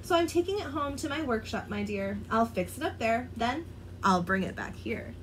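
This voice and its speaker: A woman's voice: fairly high pitch, animated, normal speed, a little hiss or hum, close to an everyday voice, poor audio. Woman doing a sly, scheming Grinch. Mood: coaxing. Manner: sly, gloating. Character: grumpy scheming male Grinch, sly and mean. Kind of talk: storybook voice acting.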